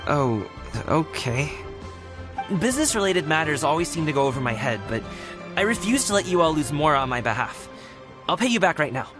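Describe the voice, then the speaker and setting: Casting: humble young game hero, lightly high boyish voice, sincere, music behind. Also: male voice, very animated, fairly quick. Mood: flustered, insistent. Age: young adult. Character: earnest, humble young man, grateful son. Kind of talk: video game voice acting.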